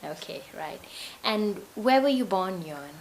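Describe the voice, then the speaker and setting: A woman's voice: mid-range voice, lively intonation, measured pace, vocal fry, slight background noise, poor audio. Woman interviewer with encouraging prompts. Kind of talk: interview questions.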